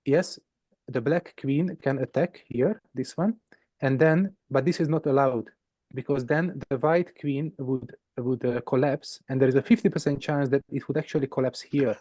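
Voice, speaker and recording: Male game teacher, native Hungarian with a Hungarian accent, relaxed mellow tone, dry close sound, patient step-by-step delivery. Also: medium pitch, moderate pace, no echo. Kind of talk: informal explanation of puzzles.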